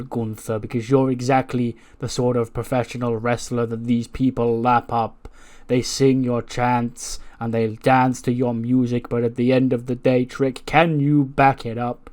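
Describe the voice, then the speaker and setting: A man acting out a smug, taunting wrestling villain's spokesman, pompous and deliberate, drawing out grand threats. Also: mid-range voice, lightly acted. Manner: pompous, drawn-out, deliberate delivery. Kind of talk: acted-out wrestling promo.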